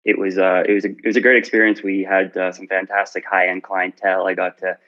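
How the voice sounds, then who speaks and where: A man with a very deep voice and little rise and fall talks eagerly about his work, stacking up praise and repeating words. The sound is dull and muffled, as if it came through a lo-fi connection. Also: level tone, fast, pushed and bright. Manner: upbeat, effusive, repeats words. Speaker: guest account manager. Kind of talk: casual self-introduction on an audio chat room. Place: Toronto.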